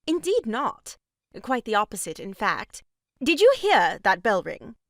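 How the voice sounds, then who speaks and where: Married lady for stage farce: composed, dryly polite, very lively intonation, close dry sound. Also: on the high side, measured pace, no room sound. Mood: brisk, composed. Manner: prim, formal, dryly polite. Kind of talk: comic stage farce acting.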